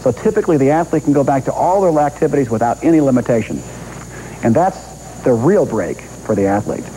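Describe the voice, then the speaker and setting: Middle-aged American male sports doctor, relaxed mid-pitched delivery, faint VHS hiss. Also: brisk, poor audio. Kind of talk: scripted TV health segment. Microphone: lapel mic. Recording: hissy 1990s VHS TV recording.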